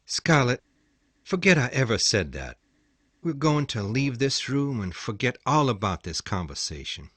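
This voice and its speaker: A soft-spoken young gentleman from a storybook, gentle but pained and torn, as a man acts him. His voice is restrained yet lively, moving up and down a lot. The recording is dry and close. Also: mid-range voice, a little slow, dry recording. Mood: sad. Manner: soft, restrained, turning harsh when refusing.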